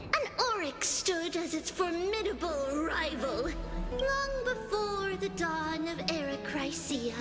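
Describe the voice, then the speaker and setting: A very high, slow-paced young noblewoman for a fantasy game, speaks like a poet reciting legend. Her ornate, theatrical phrases ring in a long reverb with music behind them. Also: animated, full character voice. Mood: grand, dramatic. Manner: measured, ornate, dramatic delivery. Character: elegant, poetic young noblewoman telling a grand legend.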